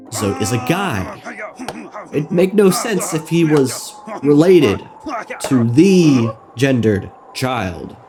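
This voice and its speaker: A young man narrating a scripted comedic video essay on game lore in a playful, mock-serious manner. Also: a little high. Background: background music.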